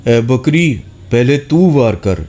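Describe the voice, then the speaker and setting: A man's voice: mid-range voice, animated, mellow, natural voice. A man voicing an arrogant, greedy lion in a children's story, speaking in a commanding way. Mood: haughty. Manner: boastful, commanding. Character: greedy, arrogant male lion, jungle king.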